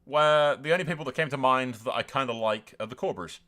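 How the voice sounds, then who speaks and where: A man's voice: medium pitch, fast talker, a bit bright. A man giving casual, sweary and blunt gameplay commentary as the host of a gaming video. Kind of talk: casual gameplay commentary with role-play asides.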